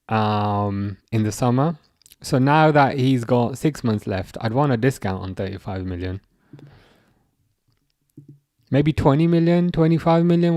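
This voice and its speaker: A young English man hosts a football livestream from home, reading out viewer messages and rambling with plenty of false starts. His voice sits at a middle pitch. It comes through dry and close on the mic. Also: a little mellow, no echo. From England. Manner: rambling, repeats words and restarts, reads chat aloud. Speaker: livestream host. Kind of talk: casual football livestream chat.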